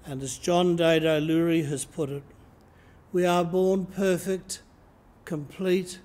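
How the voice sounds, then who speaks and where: An older man in his seventies with an Australian accent, preaching a sermon from the lectern of a church and reading slowly and deliberately from his notes. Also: fairly high pitch, fairly even intonation, darkish tone. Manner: slow, measured reading from notes. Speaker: priest. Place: church sanctuary, indoors. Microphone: lectern microphone, camera in front.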